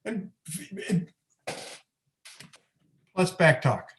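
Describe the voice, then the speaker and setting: A man's voice: high-pitched, very animated, extremely slow, heavily acted. A man playing a stern, pedantic father who draws out his rebukes, in a comedy script table read. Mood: indignant scolding. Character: scolding, pedantic father of a grown son. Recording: roomy echo on a video stream.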